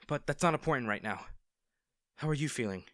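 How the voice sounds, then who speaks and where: A man playing a kind, worried young male rescuer in a roleplay audio drama, speaking in a gentle, hesitant, reassuring manner. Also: mid-range voice, animated, fairly quick, no echo, clean studio sound, lightly acted. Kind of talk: acted first-person roleplay audio drama.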